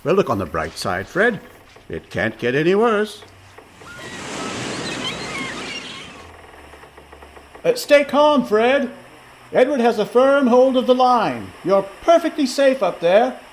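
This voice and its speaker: An actor playing a quick-witted, placating con man who poses as a pirate in a comedic radio play. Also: sits high, huge pitch swings, a little hiss or hum, full character voice. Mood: reassuring. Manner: wry, placating, articulate.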